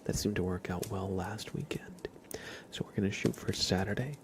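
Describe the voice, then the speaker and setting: Hushed, low-voiced man with creaky edge. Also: moderate pace. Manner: hushed, often slipping into whispers. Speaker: ASMR livestream host. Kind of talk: soft-spoken ASMR livestream chat.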